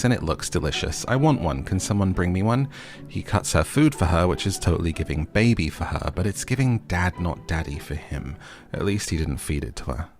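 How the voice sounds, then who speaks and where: A man hosting a scripted recap with playful, tongue-in-cheek asides. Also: low-pitched. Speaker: host of a recap and review channel. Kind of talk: scripted recap narration with wry jokey asides.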